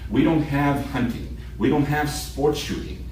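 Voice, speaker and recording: A man's voice: medium pitch, fairly even intonation, a little slow, darkish tone. A man from Israel, a native Hebrew speaker, lecturing a class as a military shooting instructor, emphatic and repeating key points in short sentences. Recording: echoey room, distant mic, background noise.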